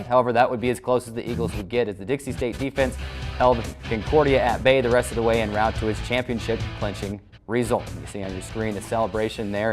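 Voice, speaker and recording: Male sports host, mid-pitched voice, formal broadcast recap read over music. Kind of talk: scripted sports recap narration.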